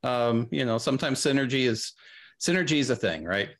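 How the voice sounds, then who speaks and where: A middle-aged man chatting casually as an interview guest on a video call, thinking aloud in a halting way. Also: medium pitch, lively intonation, fairly bright tone. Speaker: guest, audio drama creator. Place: small room on a video call.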